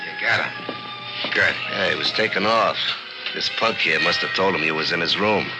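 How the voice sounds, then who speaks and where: A man's voice: sits low, moderate pace. An actor playing a taunting, bossy gangster henchman in an old radio crime drama. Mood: taunting menace. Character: tall skinny sneering male gangland henchman. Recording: old radio recording under loud music.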